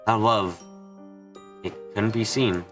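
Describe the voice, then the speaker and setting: A man giving an earnest amateur dramatic reading of a visual novel, halting for dramatic pauses. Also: fairly low pitch, fairly even intonation, fairly bright tone. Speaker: amateur fan dubber. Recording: music behind the voice. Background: background music.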